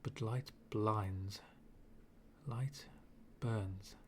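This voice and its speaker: Man acting a slow, brooding dark villain. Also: fairly low pitch, fairly even intonation, slight background noise. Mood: brooding, resolute. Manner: slow, brooding, dramatic, half-whispered with long pauses. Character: fallen-world villain, male, bitter, menacing, coldly philosophical. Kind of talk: ASMR villain monologue roleplay.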